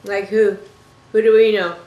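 A woman's voice: mid-range voice, fairly even intonation, moderate pace, a little mellow, muffled recording. An older woman from Toronto hosting a casual interview, folksy and fond of pet names. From Toronto, Canada. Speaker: talk show host, interviewer. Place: small living room, indoors. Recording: roomy, echoing living-room sound.

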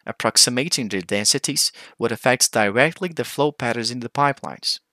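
A man's voice: medium pitch, fairly quick, pushed and bright, no room sound, studio quality. A man with a Brazilian accent, a native Portuguese speaker, giving a formal, methodical scripted technical presentation as a researcher.

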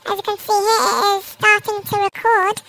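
A woman speaking through a voice-changer effect that pushes her voice very high, talking casually through a tutorial. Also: level tone, normal speed, heavy background noise. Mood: demonstrating. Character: squeaky sped-up chipmunk voice. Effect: voice changer raising pitch very high.

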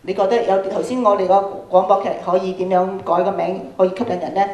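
A woman's voice: mid-range voice, fairly even intonation, quick pace, darkish tone, lo-fi sound. A woman with a Hong Kong Cantonese accent giving an interactive talk to schoolchildren, prompting them with frequent questions. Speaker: workshop speaker, radio programme producer. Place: large school auditorium, indoors. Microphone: handheld microphone, filmed from audience. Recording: echoey hall sound from PA.

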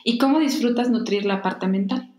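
A woman's voice: fairly high pitch, moderate pace, a little mellow. A woman in her thirties hosting a conversational podcast interview, talking reflectively in long, flowing explanations. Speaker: podcast host. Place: small home room, indoors. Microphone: large desktop microphone up close, headphones. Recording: roomy, echoey home recording.

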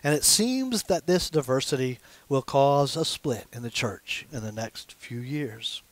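A man's voice: medium pitch, lively intonation, a little slow. A man in his sixties, a pastor giving a sermon at the pulpit of a small church, speaking in a conversational way and gesturing as he talks. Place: small church sanctuary with stained glass windows.

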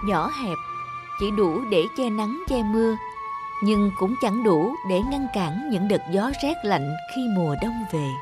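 A woman's voice: mid-range voice, animated, a little slow. A woman narrating an old folk tale in a scripted storytelling style. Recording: music under the voice.

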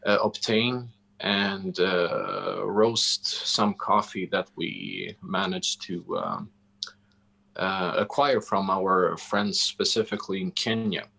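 A man in his forties with a Swedish accent, speaking haltingly and deliberately as he answers interview questions, often searching for words. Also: sits low, fairly even intonation, creaky, pushed and bright. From Sweden. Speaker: interview guest, coffee roastery founder. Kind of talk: interview answers on a video call. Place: indoor room, on a video call. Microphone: video call microphone.

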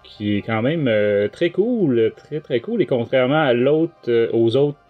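Podcast host: man, Québécois French accent, average pitch, informal and halting, faint music bed. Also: animated, phone-quality sound. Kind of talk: casual talk show chat.

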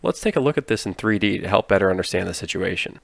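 A man narrates an explainer in an instructive way. His voice sits low with a gravelly edge, recorded close and dry. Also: expressive, quick pace, dry recording. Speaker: narrator explaining air traffic control.

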